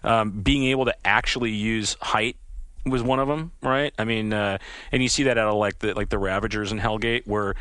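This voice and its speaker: A man's voice: fairly low pitch, steady tone, normal speed, fairly bright tone, no echo. A man talking about his game as a podcast guest, telling stories with enthusiasm and many fillers. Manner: enthusiastic storyteller, many "you know" fillers. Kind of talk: casual interview chat.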